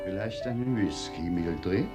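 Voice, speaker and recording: Low-voiced, sceptical elderly husband. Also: male voice, music in the background. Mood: dismissive. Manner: measured, dismissive, dry. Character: husband nearing seventy, composed and sceptical. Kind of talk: radio play dialogue.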